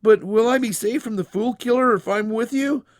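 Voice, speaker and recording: A man's voice: very high-pitched, normal speed, no echo, studio quality, voice pushed up. A man voicing a polite, earnest, plain-spoken eleven-year-old country boy in a story. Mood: earnest, honest.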